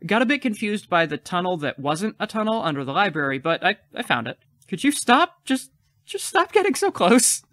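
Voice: mid-range voice, big pitch swings, normal speed, a bit bright, dry recording. An actor playing an awkward, nervous but determined fifteen-year-old, stammering through an audio drama. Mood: flustered, brave. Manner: stammering, hesitant.

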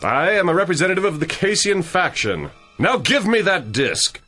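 An actor playing an imperious, hot-tempered faction agent making stilted demands in a radio drama. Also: male voice, high voice, sing-song, normal speed, bright, forceful tone, soft background music, full character voice. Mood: demanding.

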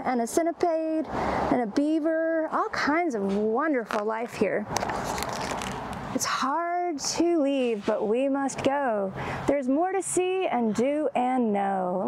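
A middle-aged woman hosts a picture book storytime for little children. Her voice sits high and swings widely in pitch, with animated exclamations and questions to her listeners. She is recorded outdoors in a garden, with clear ambient noise behind her. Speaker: children's librarian, storytime host.

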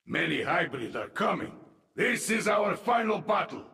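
An actor playing a brooding half-man, half-alien admiral in a video game, with a Russian accent and a gravelly, formal, grave delivery. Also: high voice, sing-song, normal speed, sharp tone, reverberant, in a large hall, recorded from a distance, exaggerated voice. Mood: commanding. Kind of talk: dramatic video game acting.

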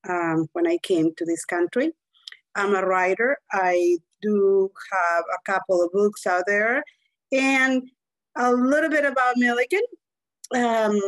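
A woman's voice: fairly high pitch, no echo, low-quality recording. An older woman from Chile, a university admissions director, introducing herself in a webinar in a chatty way with many fillers. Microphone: video call.

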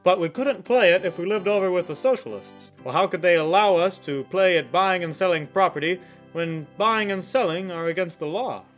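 A solemn old-time radio host, played by a man, with a high voice and a knowing, declamatory delivery. It is golden-age broadcast parody with faint music underneath. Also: moderate pace, a bit bright. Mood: knowing, folksy.

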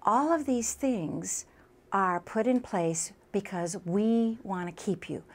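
A woman's voice: mid-range voice, slow pace. A woman speaking as a college instructor, explaining a program in an emphatic, promotional way. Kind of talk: explanatory promotional talk about a class.

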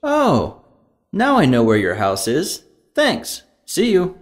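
A man's voice: high voice, wildly swooping pitch, slow pace, pitched above the performer's own voice, exaggerated voice. A man playing a friendly party guest phoning for directions in a textbook dialogue. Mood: friendly, inquiring.

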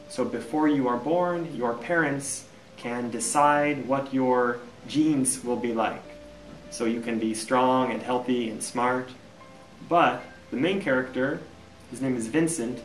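A man in his thirties from Kansas with an American accent, a university teacher answering interview questions in a relaxed, plain-spoken way. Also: mid-range voice, reverberant, far from the mic, slight background noise, low-quality recording. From United States, Kansas. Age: 30s.

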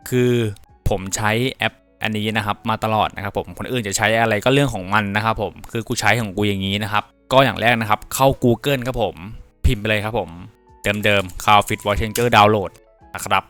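A young man presenting a software tutorial casually, mixing polite phrasing with crude slang. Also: on the low side, fairly even intonation, moderate pace, clean tone, faint music behind. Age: young adult.

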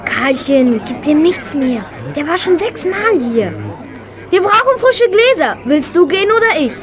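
A woman's voice: very high voice, sing-song, a little slow, full character voice. An actress playing a spirited, horse-loving young girl in a German children's audio drama. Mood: brisk.

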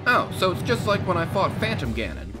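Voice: mid-range voice, huge pitch swings, brisk, over music. A performer voicing a plucky, exasperated, wisecracking young boy hero in a game parody. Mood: sarcastic. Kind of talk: fan-dub game parody acting.

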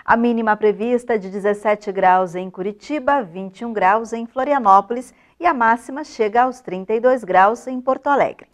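A woman presents the regional weather forecast in a studio, reading from a script. Her voice is slightly high and her delivery smooth and practiced, picked up by a lapel mic. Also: normal speed, hardly any pauses. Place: weather studio in front of a map.

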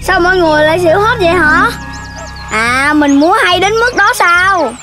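A big-bellied young cartoon pig with a very high, childlike voice. He is silly, greedy and pleased with himself. Loud music runs behind him. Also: expressive, normal speed. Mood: boastful. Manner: self-important, childlike.

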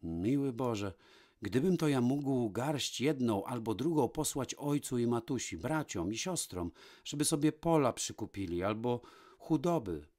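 A man's voice: mid-range voice, animated, measured pace, a little mellow. A man voicing a young peasant man in a storybook, torn between longing and selfishness, speaking in exclamations. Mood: wistful.

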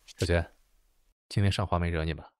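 A man's voice: low-pitched, steady tone, normal speed, clean tone, lightly acted. An actor playing a doting, lovestruck young man in an audio drama, indulgent and emotional. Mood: gently coaxing.

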